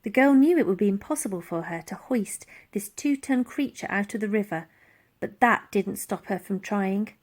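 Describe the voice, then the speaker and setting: A woman reading a children's story aloud in an expressive, dramatic storytelling style. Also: mid-range voice, moderate pace. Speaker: audiobook reader.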